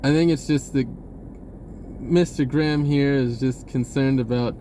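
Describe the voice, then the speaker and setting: A man talking casually in a rambling monologue, halting and pausing mid-sentence as he goes. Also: medium pitch, fairly even intonation, mellow, a little hiss or hum. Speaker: vlogger.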